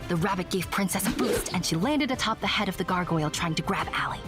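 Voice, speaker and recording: A woman's voice: fairly low pitch, fast talker, natural voice. A woman playing a small, proud winged secret agent who narrates an audio drama expressively and earnestly. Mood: proud, excited. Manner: expressive, self-important storytelling. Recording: music behind the voice.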